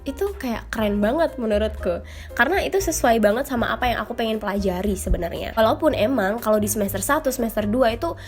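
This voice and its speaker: A woman's voice: on the high side, expressive, quick pace, faint music behind. A young woman chatting casually to her viewers, her voice nasal from a cold, often dropping English phrases into her talk. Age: young adult. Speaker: vlogger. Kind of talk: casual chat to camera.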